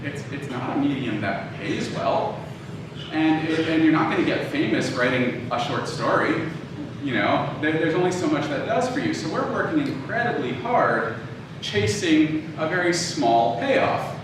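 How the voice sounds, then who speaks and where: A man's voice: a little high, very animated, measured pace. A man giving an informal lecture in a conversational, emphatic way, telling a personal story and checking in with his listeners. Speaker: audio drama producer giving a lecture. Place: live talk in a reverberant room. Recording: echoey room from far off, clear noise.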